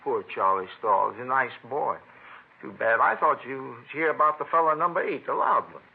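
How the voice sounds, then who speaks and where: Husky, rambling old father, swooping voice. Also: medium pitch, expressive, normal speed. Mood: bewildered, chatty. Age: older. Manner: rambling, folksy, abrupt. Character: rambling, querulous old father obsessed with fishing. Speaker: radio drama actor. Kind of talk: 1950s radio crime drama acting. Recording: tinny vintage radio recording.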